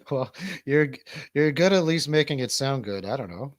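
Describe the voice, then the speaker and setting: A man's voice: medium pitch, dry recording. A man hosting a casual stream, asking questions and teasing in informal bro slang. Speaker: stream host interviewing a friend.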